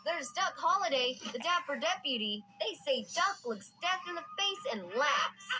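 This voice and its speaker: Very high-voiced young Western settler. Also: female voice, noisy background. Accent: American Western drawl. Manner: folksy, earnest storytelling. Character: young frontier settler telling tall tales of gunslinging heroes. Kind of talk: scripted game character narration. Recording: music behind the voice. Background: music.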